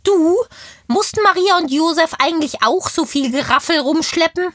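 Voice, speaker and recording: A woman's voice: very high voice, normal speed, a bit bright, dry recording, studio quality. A woman voicing a cheeky, curious kindergarten girl who chats in childlike slang in a children's audio play. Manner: chatty, childlike slangy German.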